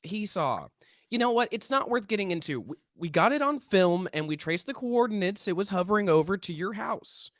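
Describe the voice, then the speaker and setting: Earnest, animated young paranormal investigator, acted out. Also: mid-range voice, normal speed, dry recording, studio quality. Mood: placating, nervous. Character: earnest young male amateur paranormal investigator, persuasive and placating. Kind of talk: script table read.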